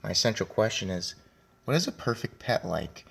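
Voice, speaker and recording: A man with a lowish, creaky voice reads aloud to children like a teacher. He is deliberate and speaks straight to his young listeners, mixing story narration with simple commentary. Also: sing-song, fairly bright tone.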